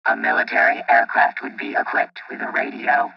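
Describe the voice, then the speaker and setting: Very deep, gravelly robot protector. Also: male voice, moderate pace, no echo, full character voice. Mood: stoic, alert. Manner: formal, flat machine-like phrasing. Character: stoic robot protector devoted to two children. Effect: robotic voice processing. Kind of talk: radio play acting. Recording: muffled, band-limited robot sound over music.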